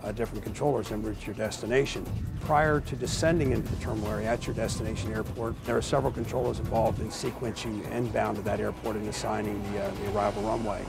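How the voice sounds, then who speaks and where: A man's voice: lowish voice, fairly even intonation, fast talker, vocal fry, music in the background. A man giving explanatory interview answers as an expert, in technical and formal wording. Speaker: air traffic expert being interviewed.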